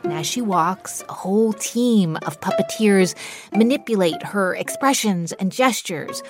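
A woman presents a radio show in scripted narration. Her voice sits at a medium pitch, and music is clearly heard underneath it. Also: expressive, unhurried. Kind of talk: scripted narration with some interviewing.

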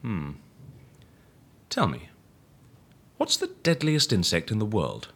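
A performer playing a flamboyant, sardonic, eccentric investigator in an audio drama, husky and theatrical, given to teasing and exclamation. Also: mid-range voice, wildly swooping pitch, slow pace, a bit bright, exaggerated voice. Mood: probing, reassuring.

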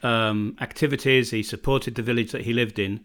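A man from Britain chatting casually as a podcast co-host. He rambles and often restarts his sentences, and his voice sits at a middling pitch for a man. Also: steady tone, normal speed, fairly bright tone. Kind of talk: casual chat. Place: home in northern Bosnia.

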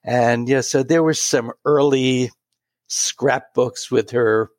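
A man in his late sixties telling a personal story in an interview, pausing and restarting often. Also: mid-range voice, steady tone, no room sound, clean studio sound. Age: 60s. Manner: warm, halting anecdotal storytelling with many restarts. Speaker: guest.

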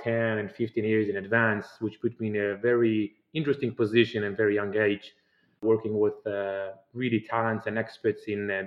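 Israeli-accented founder, roomy remote audio. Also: male voice, lowish voice, muffled recording. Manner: earnest, explains at length with fillers and non-native phrasing. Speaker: startup founder and CEO, guest. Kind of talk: podcast interview answers.

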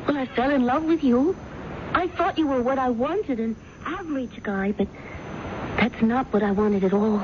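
Actress as adoring sweetheart, high-pitched, gushing, theatrical, radio drama, dull hissy broadcast. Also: female voice, normal speed, natural voice. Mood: intense. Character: infatuated, intense, melodramatic woman lover. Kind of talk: 1970s radio drama acting. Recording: muffled, noisy 1970s radio broadcast.

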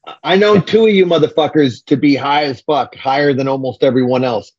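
A man's voice: medium pitch, fairly quick, darkish tone, breathy edge, lo-fi sound. A man in his fifties talking animatedly in casual chat, swearing and laughing easily. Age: 50s.

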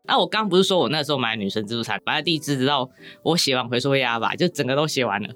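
Taiwanese woman podcast guest, talks really fast, chatty and rambly, faint music under it. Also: very deep voice, lively intonation, a bit bright. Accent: Taiwanese Mandarin. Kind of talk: casual chat, explaining own writing.

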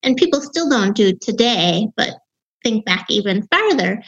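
A woman in her fifties with a Wisconsin accent talks about her life as a podcast guest. Her voice sounds young and sits fairly high, and she pauses and restarts as she reflects. The recording is a bit dull and lo-fi. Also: very animated. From Wisconsin, USA. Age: 50s. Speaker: author and grief guest. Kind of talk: personal storytelling in an interview.

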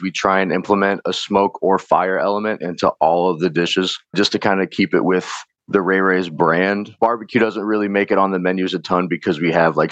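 A man with a slightly hoarse, raspy voice, a chef from Chicago talking in a laid-back, slangy way with casual swearing. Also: low voice, fairly bright tone, no echo. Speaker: chef guest. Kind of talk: casual interview chat. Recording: dull, lo-fi podcast mic.

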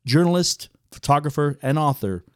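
A man's voice: a little high, expressive, moderate pace, no room sound. A man hosting a podcast, giving emphatic, enthusiastic introductions and asking interview questions. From Wales. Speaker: podcast host.

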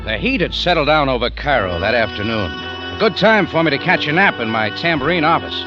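A muffled 1950s radio recording with music behind. An actor plays a tough American adventure hero, a man who talks in clipped, wisecracking lines with lively swings of pitch. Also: brisk, close to an everyday voice. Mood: wry narrating. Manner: clipped, terse, hardboiled. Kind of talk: 1950s radio drama acting, hardboiled narration.

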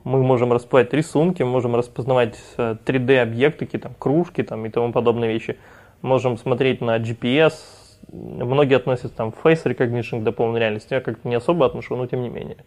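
Guy who founded a tech company, rambling on, lots of restarts, middle-pitched voice. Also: fast talker, darkish tone. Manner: rambling, many restarts and fillers. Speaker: company founder and CEO guest. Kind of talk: casual podcast interview.

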